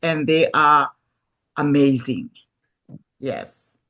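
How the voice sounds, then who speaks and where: A woman's voice: extremely low pitch, lively intonation, very slow, a bit bright. A woman hosting a casual group video call who talks in an enthusiastic, effusive way and laughs often.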